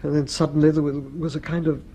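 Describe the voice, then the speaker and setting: An actor playing a dazed, shaken and confused injured man in a radio drama, halting and repeating words. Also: on the high side, little pitch movement, dark tone, a little hiss or hum, natural voice. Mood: dazed, pained.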